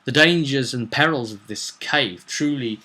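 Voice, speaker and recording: A man's voice: medium pitch, unhurried, sharp tone. A man chatting casually as a game master, recounting a tabletop roleplay session in a rambling, storytelling way. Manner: chatty, slips into acted quotes of characters.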